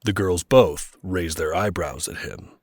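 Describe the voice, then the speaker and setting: A man's voice: low-pitched, a little slow, dry recording, studio quality. A man reading scripted narration for an audio drama. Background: music.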